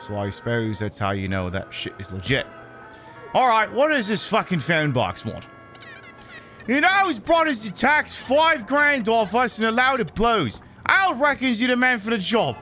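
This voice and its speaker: Casting: male streamer playing a cheeky, foul-mouthed British bloke for rambling game commentary, exasperated, very animated delivery over music. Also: high voice, exaggerated voice. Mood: rambling, amused, then mock-exasperated. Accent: put-on British. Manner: sweary, rambling, mock-indignant. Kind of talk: improvised live gaming commentary. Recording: music behind the voice.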